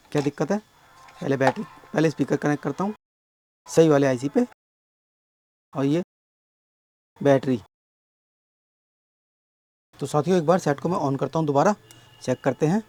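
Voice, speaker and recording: A man with a sore, hoarse throat explaining a speaker repair step by step at his workbench, often addressing viewers as friends. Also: a little high, a little mellow, a little hiss or hum. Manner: explains slowly, keeps calling viewers friends. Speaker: electronics repair technician.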